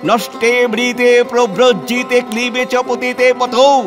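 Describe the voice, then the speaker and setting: An actor playing an ageing, stern and wounded scholar-reformer in a declamatory, emotional audio-play monologue with dramatic pauses. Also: male voice, high voice. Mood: triumphant. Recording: music under the voice. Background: background music.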